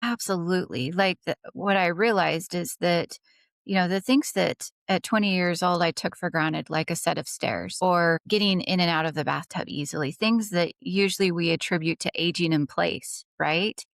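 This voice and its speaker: A woman's voice: fairly low pitch, normal speed, gravelly, no echo, clean studio sound. A woman in her forties, raised in Dubai, answering interview questions in an earnest, fluent way, with a few restarts. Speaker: podcast guest.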